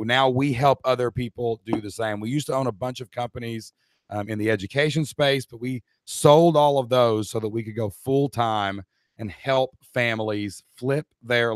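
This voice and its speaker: A middle-aged man, hoarse-voiced, co-hosting a live online Q&A and talking straight to the chat audience in an upbeat way. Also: mid-range voice, no echo, clean studio sound.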